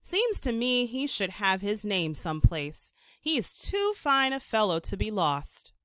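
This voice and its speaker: Woman as a musing, expressive young male artist. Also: medium pitch, measured pace, smooth, dry recording, clean studio sound. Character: kindly young male artist, thoughtful and easily inspired. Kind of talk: children's storybook character.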